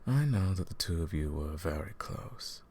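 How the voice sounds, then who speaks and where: Guy voicing a slimy royal advisor for fantasy asmr roleplay, really low creaky voice, courtly n patronizing, fake sympathy. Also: lively intonation, normal speed, mellow, a little hiss or hum. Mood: feigned sympathy. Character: smooth-tongued scheming royal advisor, falsely sympathetic, condescending. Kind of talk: fantasy audio roleplay, ASMR-style address to the listener.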